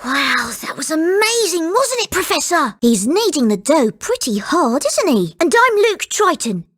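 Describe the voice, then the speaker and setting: A woman voicing a polite, earnest and excitable young boy in a video game. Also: very high voice, unhurried, full character voice. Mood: curious, polite.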